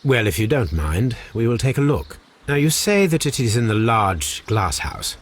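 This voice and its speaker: An actor playing an eccentric, cerebral Victorian English gentleman detective in a video game, speaking formally with precise, dry wit. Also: mid-range voice, sing-song, moderate pace. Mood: polite, inquiring. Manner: formal, precise, dryly witty.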